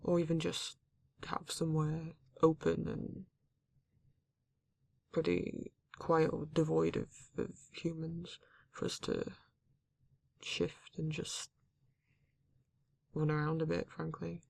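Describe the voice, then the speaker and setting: A performer voicing a grumpy, reluctant werewolf in an ASMR roleplay, exasperated and halting, with a husky, gravelly voice. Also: medium pitch, monotone, no room sound. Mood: guarded, subdued. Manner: exasperated, sighing, swearing, halting delivery. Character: grumpy, reluctant werewolf, guarded but not cruel. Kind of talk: ASMR roleplay audio drama, intimate monologue.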